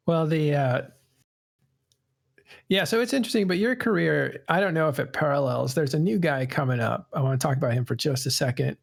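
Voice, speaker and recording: A man's voice: a little high, a little fast, vocal fry. A man hosting a casual panel podcast, rambling and correcting himself as he goes. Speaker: podcast host.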